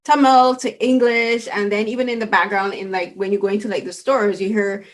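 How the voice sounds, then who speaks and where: A woman from Barbados hosting an interview, speaking candidly in a softened Barbadian accent, animated and quick to laugh. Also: medium pitch. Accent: Barbadian, softened toward neutral. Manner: outspoken, animated, laughs easily. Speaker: interview host. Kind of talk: casual interview talk.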